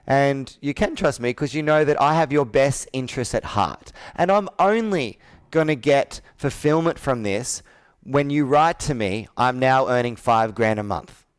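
A man's voice: on the high side, lively intonation, measured pace, clear, resonant tone, no echo. A man in his thirties working as a sales coach, talking persuasively and assertively, with probing rhetorical questions. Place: indoor office with a flip chart, on a video call.

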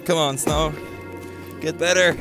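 A young man with a fairly high voice talks excitably on a snowy mountainside, picked up by an action camera strapped to his gear. He swears, laughs and throws in "dude" a lot. Music plays clearly behind him. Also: unhurried. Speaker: skier and vlogger. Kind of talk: casual outdoor chat while ski touring. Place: outdoors on a snowy mountain couloir. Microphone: action camera worn on the body.

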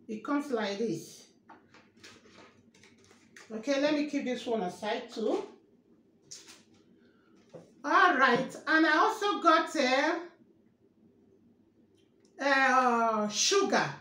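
A woman in her thirties speaks slowly in a high voice, going through her groceries item by item. She repeats each price and keeps asking viewers whether they can see what she holds up. The kitchen sounds roomy and echoey, with a faint hiss underneath. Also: animated, sharp tone. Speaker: vlogger. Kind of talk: grocery haul show-and-tell. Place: kitchen, indoors. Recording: echoey room with faint noise.

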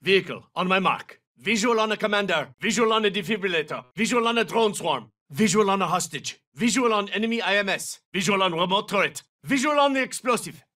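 An actor playing a battle-hardened special forces soldier in a video game, giving clipped, terse military callouts. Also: male voice, sits high, expressive, a little fast, few pauses, sharp tone, distant mic. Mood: low, alert. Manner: clipped, terse, urgent radio-style callouts.

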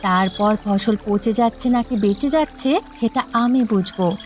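A woman's voice: mid-range voice, measured pace, slight background noise, close to an everyday voice. A woman voicing a confident, bossy young city bride in a cartoon drama, firmly giving instructions, with music behind. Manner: firm, instructing.

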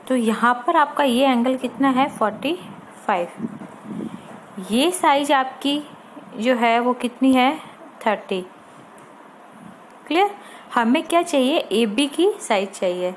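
A woman's voice: sits high, a little hiss or hum, poor audio. A woman with an Indian accent giving a step-by-step explanatory lesson, often posing questions as she goes. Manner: didactic, step by step, with frequent rhetorical questions. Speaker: polytechnic lecturer. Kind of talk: explanatory lesson mixing Hindi with English technical terms.

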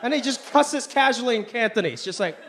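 A man from Hong Kong doing stand-up on stage with a handheld mic, his voice pitched high and bouncing all over the place as he builds to punchlines. A faint audience murmur and a little background noise sit under the live recording. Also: a little fast. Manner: animated, pitch swinging high and low for punchlines. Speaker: stand-up comedian. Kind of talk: stand-up comedy set. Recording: live show with faint crowd and room noise.

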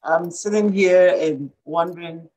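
A woman's voice: fairly low pitch, unhurried, darkish tone, poor audio. A middle-aged woman with a Ghanaian accent, asking a question on a video call, hesitant and thinking aloud. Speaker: community member asking a question. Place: home room indoors. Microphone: video call webcam.

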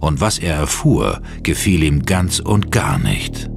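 An actor serving as a sober narrator of a scripted audio drama. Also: male voice, a bass voice, sing-song, takes it slowly, gravelly, loud music behind the voice, heavily acted. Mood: grave. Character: sober male storyteller.

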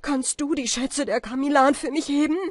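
A woman voicing a half-mad, starving man who raves and shouts wildly, for a storybook reading. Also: extremely high pitch, steady tone, normal speed, no echo, pitched above the performer's own voice. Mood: frantic. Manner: raving, shouting, frantic.

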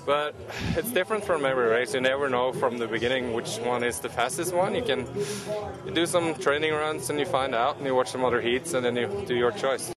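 Relaxed male skier explaining. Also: medium pitch, a little fast, crowd noise. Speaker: athlete. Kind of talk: casual interview answers. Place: outdoors at a ski course. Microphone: handheld mic.

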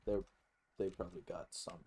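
Low-voiced man rambling through a game. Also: level tone, moderate pace, dark tone, a little hiss or hum. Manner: rambling, musing aloud, swears casually. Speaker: gaming video host. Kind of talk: casual let's play commentary.

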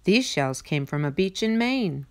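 A woman's voice: on the low side, big pitch swings, measured pace, pitched above the performer's own voice. A woman voicing schoolchildren in a picture book with simple, childlike phrasing. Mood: proud, eager.

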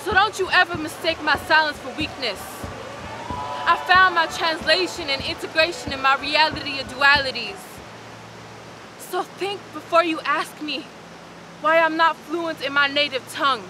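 Young woman poet, high voice, rhythmic emphatic spoken word, recorded outdoors with loud crashing surf behind. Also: normal speed, noisy background. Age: young adult. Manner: rhythmic, emphatic performance delivery. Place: rocky beach outdoors. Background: crashing ocean waves.

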